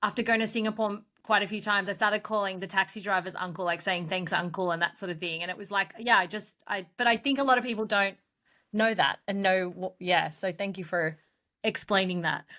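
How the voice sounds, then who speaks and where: A woman co-hosts a podcast with a bright, forward voice. She chats casually and draws her guest out. Her talk is relaxed and conversational. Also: mid-range voice, fairly quick. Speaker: podcast co-host. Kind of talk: casual interview chat.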